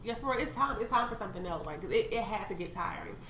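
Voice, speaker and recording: A woman's voice: medium pitch, expressive, quick pace, sharp tone. A young adult woman hosting a podcast, speaking as a candid, chatty podcast host who swears freely. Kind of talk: casual chat. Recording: echoey room, faint ambient noise.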